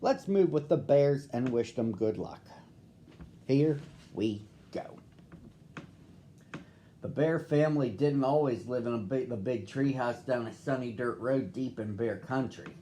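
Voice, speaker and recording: Mellow-voiced man reading a children's story. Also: medium pitch, a little slow, a little hiss or hum. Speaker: storybook reader. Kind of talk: children's read-aloud storytelling.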